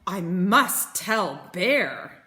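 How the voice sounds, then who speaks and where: A woman voicing an eager little male storybook mole, slowly exclaiming in a sing-song way. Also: on the low side, very animated, pushed and bright, slight background noise, pitched below the performer's own voice, full character voice. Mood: excited, urging.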